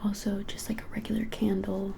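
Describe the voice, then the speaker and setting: A woman in her twenties whispering a casual ASMR shopkeeper roleplay in a breathy, halting, helpful way. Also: medium pitch, level tone, background noise. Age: 20s. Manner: soft, hesitant, halting, helpful explaining. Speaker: ASMR creator playing a witch shop keeper. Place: small plain indoor room.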